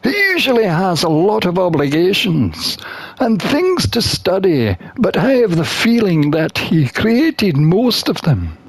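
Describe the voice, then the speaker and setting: Gamer reading a story game aloud: slow pace, very varied pitch, dry sound. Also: big pitch swings, breathy edge, no room sound. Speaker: gamer playing and narrating. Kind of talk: reading a visual novel aloud, voicing characters.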